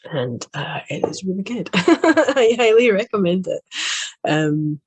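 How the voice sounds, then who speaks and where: A woman speaking as a healing practitioner in a casual, grateful chat with her viewers, still a little under the weather, with frequent soft laughs and pauses. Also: mid-range voice, very animated, husky, dry recording. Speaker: quantum healing hypnosis practitioner.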